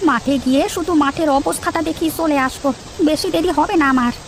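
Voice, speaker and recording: Woman voicing a high-pitched, fretful village farmer. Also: quick pace, a little hiss or hum. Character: anxious married village farmer man, easily dismayed. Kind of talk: children's moral-story cartoon dubbing.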